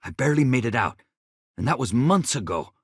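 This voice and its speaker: A man's voice: mid-range voice, big pitch swings, a little fast, husky, no echo, clean studio sound. An actor playing an earnest, anxious male spaceship engineer in a video game, polite and hesitant in manner. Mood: troubled, haunted. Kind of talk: game dialogue, companion voice lines.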